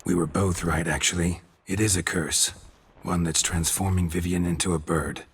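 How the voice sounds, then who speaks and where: An actor playing a stoic, world-weary monster hunter in a video game, speaking in terse, deadpan lines with a gravelly, husky voice. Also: male voice, deep voice, moderate pace, heavily acted. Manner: terse, deadpan, clipped sentences.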